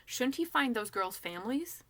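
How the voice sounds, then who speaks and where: A woman's voice: fairly high pitch, animated, normal speed, a bit bright, pitched above the performer's own voice. A woman voicing a naive, earnest young female zombie with a questioning manner in a storybook reading. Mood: earnest, doubtful.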